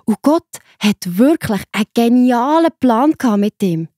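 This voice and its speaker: A woman's voice: fairly high pitch, measured pace, no room sound, studio quality. Swiss German woman telling children a story. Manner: simple, expressive, explaining to children. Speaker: narrator.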